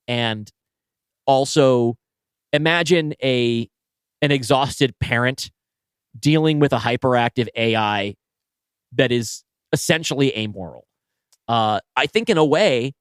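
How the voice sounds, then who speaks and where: A man's voice: medium pitch, animated, unhurried, clean tone, dry recording. Man thinking aloud, dry close sound. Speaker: podcast co-host and story writer. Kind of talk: casual brainstorming conversation.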